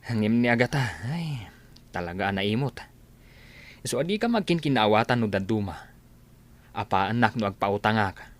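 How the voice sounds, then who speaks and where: A man's voice: on the low side, lively intonation, moderate pace, long pauses, close to an everyday voice. A man playing an opinionated village husband in an Ilocano radio comedy drama, talking in an admonishing, conversational way with an Ilocano accent. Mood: friendly advising.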